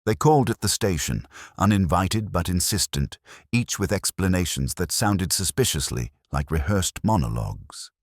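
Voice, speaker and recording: Very deep-voiced male mystery narrator. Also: expressive, normal speed, hardly any pauses, slightly rough, no echo, clean studio sound. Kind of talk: scripted mystery story narration.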